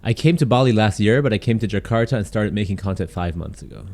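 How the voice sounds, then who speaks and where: A young man from Germany and the UK tells stories quickly and with energy, dropping "like" often. His voice sits fairly low and has a dark, mellow tone. He speaks as a guest in a relaxed podcast interview. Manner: quick, animated storytelling with frequent "like". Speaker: guest content creator.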